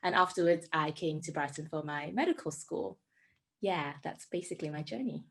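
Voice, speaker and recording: Young woman, Singaporean accent, earnest talk, many ums, lowish voice. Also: moderate pace. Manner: earnest, with frequent ums and self-corrections. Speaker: medical student speaker. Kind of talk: prepared talk in a webinar.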